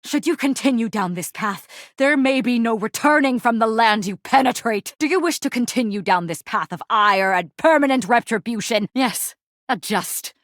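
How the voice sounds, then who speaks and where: A woman's voice: on the high side, lively intonation, normal speed, a bit bright, no echo, clean studio sound. A woman voicing a proud, tragic warrior general in a video game, declaiming in archaic, mock-Shakespearean speech with haughty anguish. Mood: haughty, menacing. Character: grandiose, tragically proud warrior general, a laundry detergent box.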